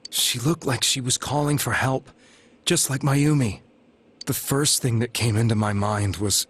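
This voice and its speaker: A low-voiced, somewhat rough American middle-aged man in a video game drama. He is troubled and grieving, and he tells his story haltingly, with guilt and anguish under every line. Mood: anguished, earnest.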